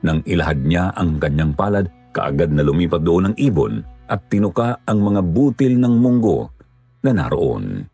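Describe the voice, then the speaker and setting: A man's voice: low voice, animated, normal speed, warm tone, soft background music. A man narrating a scripted horror story as its storyteller.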